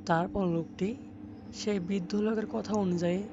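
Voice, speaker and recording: A man's voice: sits high, steady tone, normal speed, background noise. A man narrating a cartoon folk tale as a scripted storyteller. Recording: muffled audio under music.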